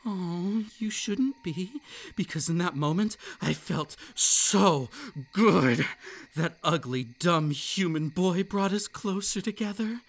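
A man voicing a possessive yandere male kitsune monster for roleplay ASMR. He breaks into unhinged laughter and drops into soft, breathy whispers. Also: high voice, takes it slowly, exaggerated voice. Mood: gleeful. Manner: gleeful, unhinged laughter between lines.

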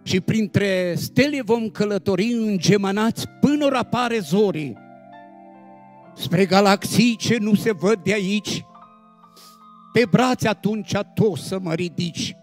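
A man reciting devotional poetry solemnly and expressively, with dramatic phrasing and deliberate pauses. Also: sits high. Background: instrumental music.

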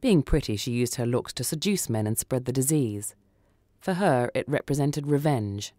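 Mellow man narrating a suspense story. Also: medium pitch, lively intonation, normal speed, dry recording, clean studio sound. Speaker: story reader. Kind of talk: scripted first-person suspense narration for radio.

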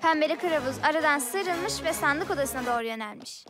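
Young cartoon girl, high voice, headstrong, expressive, music in background. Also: brisk. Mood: storytelling. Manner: assertive, expressive storytelling. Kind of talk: children's cartoon dubbing.

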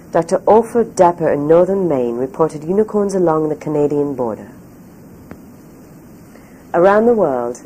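A woman reading scripted documentary narration in a measured, solemn storytelling manner. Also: low-pitched, mellow, slight background noise, low-quality recording. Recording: music behind. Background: background music.

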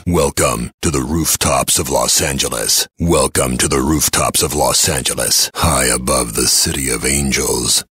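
An actor playing a menacing, taunting game announcer, theatrical and sardonic, with a gravelly raspy voice. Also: male voice, a bass voice, a bit bright, dry recording, clean studio sound, exaggerated voice. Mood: grandly ominous. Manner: ominous, sardonic, dramatic delivery. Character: menacing, taunting male arena announcer for deadly car combat.

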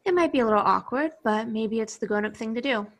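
A woman's voice: fairly high pitch, fast talker, a bit bright. A woman playing a cool, guarded young hipster in an amateur film script read. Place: home, group video call.

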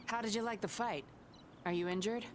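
A woman's voice: mid-range voice, fairly quick, natural voice. Yinlin, a woman playing a teasing sly spy. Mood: confident. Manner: playful, teasing, smooth. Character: teasing sly young female undercover agent. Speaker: game character. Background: game music.

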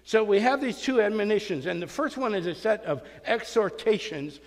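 Animated older pastor in a reverberant church. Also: male voice, sits high, moderate pace. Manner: animated, gesturing while pacing, folksy and self-mocking. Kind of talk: sermon with scripture reading and storytelling. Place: small church sanctuary. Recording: long reverb of a hall.

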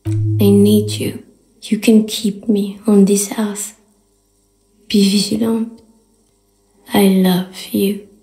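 A woman voicing the tender, otherworldly spirit of an ancient city in a game, breathy, hushed and incantatory, in an echoing space. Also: mid-range voice, level tone, slow, pauses often, faint music behind. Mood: tender, pleading. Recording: echoing, reverberant voice effect.

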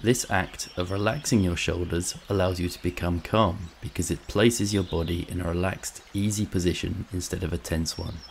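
A man narrating a scripted guided meditation in a calm, soothing, measured way, with long pauses. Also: low-pitched, creaky, a little hiss or hum.

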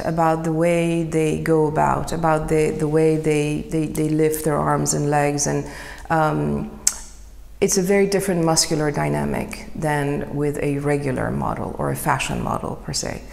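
Low, gravelly-voiced woman in an echoey room. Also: darkish tone. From Iran. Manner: thoughtful, searching for words, many fillers and restarts. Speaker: photographer. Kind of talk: reflective interview monologue.

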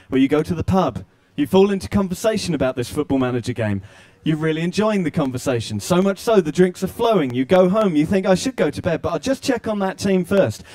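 A man in his forties hosts a live stage panel with wry, articulate storytelling. His voice sits a little high for a man. The handheld mic gives a close, dry sound. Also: warm tone, no echo. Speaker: panel host. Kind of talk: hosting a live panel discussion. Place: stage before a live audience. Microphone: handheld microphone.

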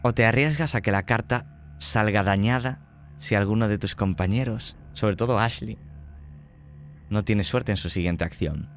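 Low-voiced Spanish game master, dramatic. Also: male voice, moderate pace, soft background music. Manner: dramatic, vivid scene-setting. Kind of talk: narrating a tabletop horror game.

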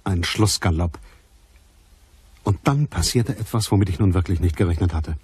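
Hard-boiled detective, low husky voice, terse, unsentimental, crime radio play narration. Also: male voice, normal speed, a little mellow, no room sound. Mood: tense, urgent. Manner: terse, matter-of-fact storytelling. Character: hard-boiled private detective, cool, watchful, unsentimental man. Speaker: actor playing narrator and lead detective. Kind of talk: scripted first-person crime radio drama narration.

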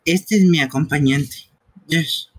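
A man's voice: fairly high pitch, slow pace, breathy edge, low-quality recording. A man streaming a live fan dub of a video game, reading its dialogue aloud in character. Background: game music.

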